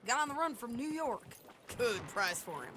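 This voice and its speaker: High-voiced, brash frontier bounty huntress. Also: female voice, big pitch swings, moderate pace, a bit bright, a little hiss or hum. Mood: casual, wry. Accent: American. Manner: drawling, folksy rural speech. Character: brash, hard-living female bounty hunter in the Old West. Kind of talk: video game dramatic dialogue.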